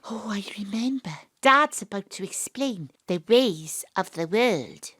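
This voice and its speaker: A woman acting in an audio drama as a spirited, plain-spoken character revisiting her childhood, with a Welsh accent and an exclamatory, expressive delivery. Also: medium pitch, a little slow, breathy edge, exaggerated voice. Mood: troubled.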